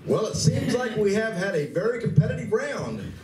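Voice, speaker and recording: A man's voice: high voice, very animated, moderate pace, darkish tone. A man playing a cheerful game coordinator in a radio play, announcing and giving play-by-play. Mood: commentating. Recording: distant, echoey room with faint noise.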